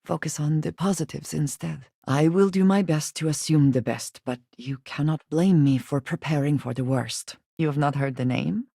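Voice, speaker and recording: A wary woman spacefarer for a sci-fi video game, in a lowish voice recorded very dry and close. She speaks in measured, formal sentences, reserved and dryly loyal. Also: gravelly, warm tone, breathy edge, dry recording, exaggerated voice. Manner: measured, formal phrasing with few contractions. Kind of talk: video game voice acting, scripted dialogue.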